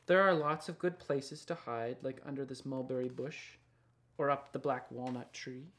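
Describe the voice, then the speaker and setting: A man in his thirties reads a children's picture book aloud. His delivery is gentle and unhurried, dropping softer at times, with a mid-pitched voice. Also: steady tone. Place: small indoor studio lined with books and records.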